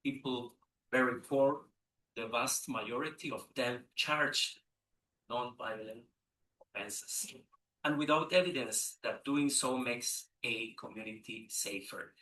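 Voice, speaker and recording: A man delivering a formal prepared speech at a conference, measured, with a few hesitant restarts. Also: medium pitch, bright, forceful tone. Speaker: conference speaker. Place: large conference room. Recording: echoey room, distant mic.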